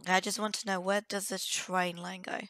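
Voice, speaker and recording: A woman hosts a casual gaming video, thinking aloud in a hesitant way. Her voice is hoarse and croaky from a cold, yet bright, at an average pitch. The recording is close and dry. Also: flat delivery, moderate pace, no echo. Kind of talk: casual let's play commentary.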